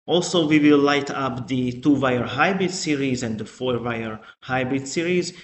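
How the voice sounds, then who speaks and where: A man giving a live online product training as the presenter, with a halting delivery. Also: medium pitch. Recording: echoey room with faint noise.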